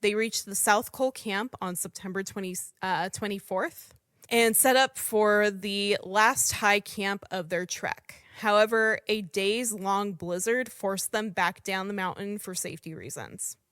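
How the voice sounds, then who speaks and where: A woman hosts a podcast and tells a true story in a relaxed, chatty way, pausing to apologize for how she pronounces names. Her voice sits at a middle pitch. She is recorded close to the mic in a dry space with no room echo. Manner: conversational, apologizes for mispronouncing names. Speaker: podcast host.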